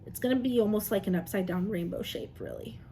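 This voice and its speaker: A woman's voice: mid-range voice, fast talker, dark tone, a little hiss or hum. A woman, an elementary teacher reading and chatting to young children, often asking them questions and affirming their answers. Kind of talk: children's read-aloud and drawing lesson.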